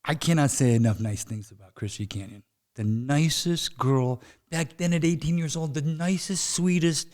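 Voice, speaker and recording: A man in his fifties, a guest in a casual interview, telling long rambling stories and often checking in with "you know what I mean?". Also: fairly high pitch.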